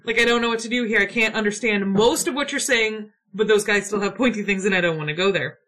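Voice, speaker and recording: A woman's voice: medium pitch, quick pace, fairly bright tone. A young adult woman co-hosting a casual chat, retelling a play in an irreverent, swearing, playful way. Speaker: podcast co-host.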